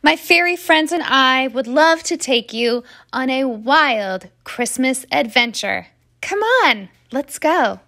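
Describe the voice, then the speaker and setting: A woman reading a rhyming children's picture book aloud as a storyteller, with expressive, measured delivery. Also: sits high. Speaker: children's story reader and host.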